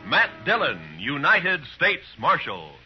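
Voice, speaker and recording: A man's voice: fairly high pitch, wildly swooping pitch, takes it slowly, heavily acted. A man announcing a 1950s radio drama in a dramatic, portentous manner. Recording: muffled 1950s radio recording, music behind.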